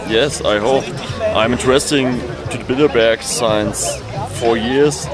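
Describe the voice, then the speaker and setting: A man's voice: mid-range voice, a little slow, loud music behind the voice, a loud crowd. A German man in his twenties with a German accent, answering a street interview in hesitant but emphatic English. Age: 20s. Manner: hesitant, then emphatic and defiant. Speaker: protester.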